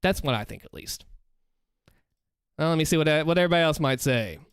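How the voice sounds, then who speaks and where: A man's voice: fairly high pitch, expressive, fairly quick, clear, resonant tone, dry recording, clean studio sound. A man with a Southern US accent, chatting casually on a livestream, thinking aloud and encouraging viewers. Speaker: streamer. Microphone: close desk mic with headphones.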